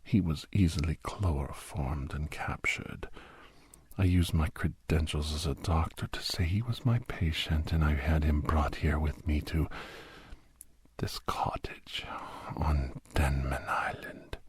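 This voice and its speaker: Gloating mad doctor, ASMR roleplay villain, husky whisper, mock-polite, close dry sound. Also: male voice, rumbling low, dry recording, full character voice. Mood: cold gloating. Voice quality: breathy, husky near-whisper. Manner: slow, drawn-out, mock-polite menace. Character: menacing villainous doctor, obsessed captor, coldly gloating.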